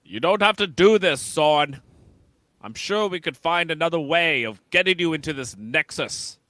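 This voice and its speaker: A man playing a principled, resolute starship captain in an amateur radio play, speaking earnestly and with deliberate authority. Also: a little high, expressive, fairly bright tone, no room sound. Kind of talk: amateur radio theatre script read.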